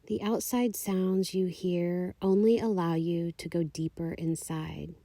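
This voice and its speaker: A woman leading a guided meditation, giving calm, soothing, unhurried instructions. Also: fairly low pitch, dry recording. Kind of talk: guided meditation, slow scripted narration.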